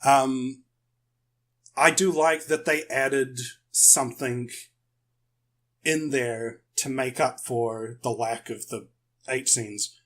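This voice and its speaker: A man's voice: mid-range voice, fairly even intonation, takes it slowly, long pauses, a bit bright. A man speaking as a podcast guest, explaining his views casually and rephrasing as he goes.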